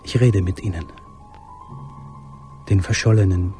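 An actor playing a sorrowful young letter writer in a radio drama, measured, earnest and intimate. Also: male voice, low-pitched, steady tone, warm tone, faint music behind.

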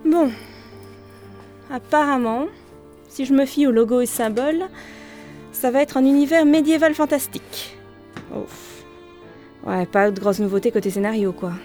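A woman playing a candid, informal radio show writer in an audio drama, with expressive delivery. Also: sits high, normal speed, long pauses, natural voice. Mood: musing, curious. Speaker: radio studio staffer, narrator. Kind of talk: audio drama dialogue and first-person narration. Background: music.

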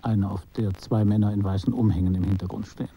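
An actor playing a detached, observant photographer who tells his story in a radio play, measured and matter-of-fact. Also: on the low side, fairly even intonation, dark tone, slightly rough, highs cut off. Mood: detached, reflective. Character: detached, observant male art photographer recounting his encounters. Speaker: narrator and main character of a radio play. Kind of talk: literary first-person radio drama narration.

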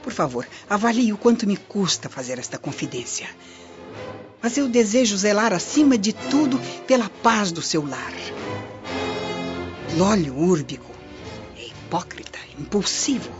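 A woman's voice: medium pitch, very animated, measured pace. A woman acting a scheming, vengeful Roman noblewoman in a radio drama, with formal, theatrical, insinuating delivery. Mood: feigned anguish. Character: scheming vengeful Roman noblewoman, falsely confiding prefect's wife. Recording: muffled lo-fi recording under loud music.

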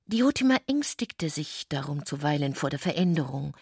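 A woman reading literary narration for a radio play, composed and deliberate in her phrasing. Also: sits low, sing-song, creaky, a little mellow, breathy edge, no echo, clean studio sound.

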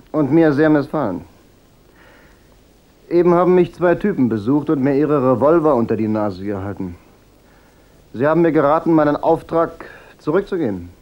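An actor playing an unflappable, wisecracking private detective in a German radio crime drama, dry and laconic. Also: male voice, fairly high pitch, dull audio, natural voice. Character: wry, unflappable hard-boiled male private detective. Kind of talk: German radio play acting.

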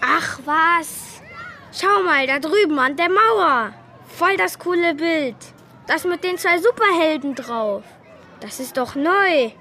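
A performer playing a cheeky, excitable schoolboy in a children's radio play, talking in enthusiastic slang. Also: extremely high pitch, slow, a faint crowd, a little hiss or hum, heavily acted. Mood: excited. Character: cheeky, excitable schoolboy, loyal best friend.

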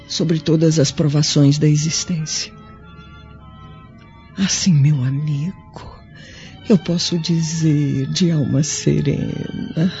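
Slow-spoken dying Roman noblewoman. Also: on the low side, dark tone. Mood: solemn, serene. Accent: Brazilian. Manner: slow, formal, sorrowful, theatrical. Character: dying noble Roman widow, remorseful, solemn and tender. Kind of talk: radio drama acting. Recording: dull lo-fi audio with music behind.

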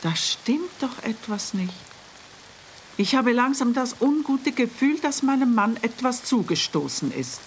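An actress playing a worried, sceptical wife in a radio play, with a proper, pointed way of questioning. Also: female voice, on the high side, lively intonation, a little slow, a little hiss or hum. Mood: anxious. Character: worried, sceptical wife of a missing, indebted man.

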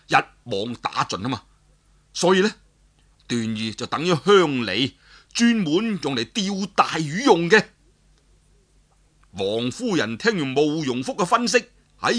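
Lively, bright-voiced Cantonese male storyteller. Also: medium pitch, measured pace. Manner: explanatory, colloquial, addresses listeners with rhetorical questions. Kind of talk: dramatic Cantonese storytelling narration.

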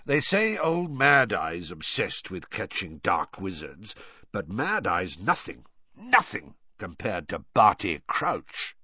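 A man voicing a gruff, wary old wizard professor for an audiobook, muttering and growling his curt remarks. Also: fairly low pitch, wildly swooping pitch, takes it slowly, pushed and bright, no echo, lo-fi sound, exaggerated voice. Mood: grim, guarded. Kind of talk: audiobook character voice.

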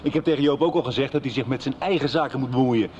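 An actor playing a surly, sneering, defensive husband in a Dutch radio soap. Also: male voice, medium pitch, fast talker, a little mellow, lo-fi sound, slight background noise, close to an everyday voice.

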